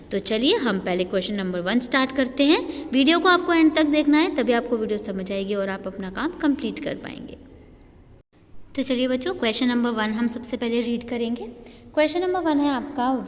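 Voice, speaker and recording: A woman teacher with an Indian accent whose first language is Hindi, talking children through a worksheet and reading English answers slowly for dictation. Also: fairly high pitch, big-room sound.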